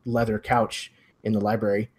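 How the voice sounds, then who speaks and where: A man running a tabletop mystery game as game master, narrating in measured, formal phrasing. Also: lowish voice, level tone, darkish tone.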